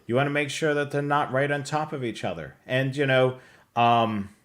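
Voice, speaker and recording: A man's voice: mid-range voice, moderate pace, a bit bright. A middle-aged man with an American accent, presenting casually as host of a hobby livestream from a room at home. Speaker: host of a radio scanner show. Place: indoor home room on a video call.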